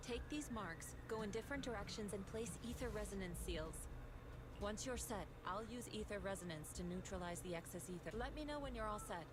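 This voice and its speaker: An actress playing a calm, authoritative martial arts master in a video game, speaking in a measured, formal way. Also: female voice, medium pitch, noisy background, close to an everyday voice. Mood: calm, commanding. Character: composed woman martial master, calm, commanding, self-sacrificing. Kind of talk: dramatic video game voice acting.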